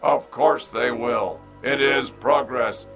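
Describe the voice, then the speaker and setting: Slow, measured robot executive. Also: male voice, mid-range voice, fairly even intonation, gravelly. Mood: coolly pleased. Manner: measured, formal, precise. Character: machine executive, cool, self-assured male robot boss. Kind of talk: radio drama acting. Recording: radio drama with music underneath.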